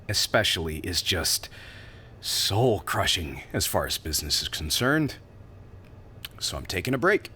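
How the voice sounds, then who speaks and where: A man's voice: medium pitch, sharp tone, breathy edge, full character voice. A man playing a polite, wordy drifter who poses as a polished businessman in an audio drama. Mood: confiding. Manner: formal, wordy, polite, hesitant openings. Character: sharply dressed drifter posing as polished New York businessman.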